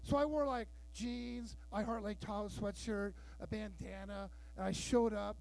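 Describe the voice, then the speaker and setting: High-voiced man doing bawdy stand-up. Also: steady tone, measured pace, creaky, rough recording. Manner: loud, bawdy storytelling with acted-out dialogue. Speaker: stand-up comedian. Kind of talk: stand-up comedy set. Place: live show room with audience.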